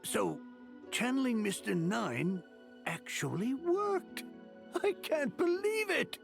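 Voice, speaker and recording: An actor playing a flustered, excitable struggling writer in a video game, with a creaky voice. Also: male voice, very high voice, takes it slowly, faint music behind, heavily acted. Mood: astonished.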